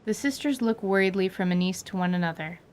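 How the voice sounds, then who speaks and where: A woman narrates a fantasy tale in scripted storytelling style. Her pitch sits in the middle range, and there is clear noise behind the voice. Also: animated, quick pace, darkish tone.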